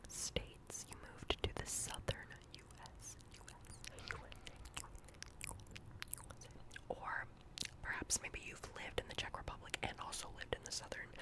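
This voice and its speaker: A woman hosting ASMR in a breathy, unvoiced whisper, playfully repeating words and syllables as she speaks. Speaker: ASMR host. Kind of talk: whispered ASMR guessing game.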